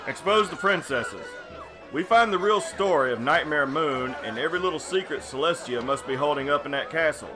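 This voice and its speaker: Man voicing a brash, animated tavern schemer pony. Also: fairly high pitch, brisk, natural voice, low-quality recording. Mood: rousing, scheming. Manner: cocky, conspiratorial. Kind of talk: audio drama acting. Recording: tavern crowd and music behind.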